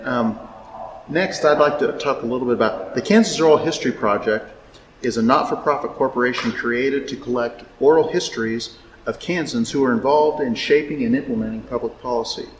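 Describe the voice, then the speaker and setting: A man's voice: mid-range voice, fairly quick. A man interviewing for an oral history project, reading an introduction with stumbles and fillers. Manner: reads project intro with stumbles and fillers, brief affirming replies. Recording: echoey hall, clear background noise.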